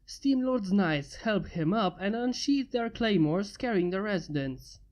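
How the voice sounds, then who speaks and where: A woman narrating fanfiction in a plain, steady amateur reading. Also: mid-range voice, unhurried. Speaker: fanfiction reader.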